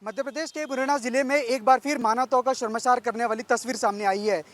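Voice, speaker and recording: A man's voice: very high-pitched, steady tone, fast talker, fairly bright tone. A man narrating a scripted news report as a reporter.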